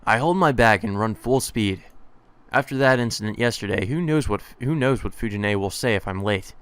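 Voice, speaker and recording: A man's voice: lowish voice, normal speed, a bit bright, smooth, no echo. A man playing an earnest, easily puzzled schoolboy hero in a visual novel.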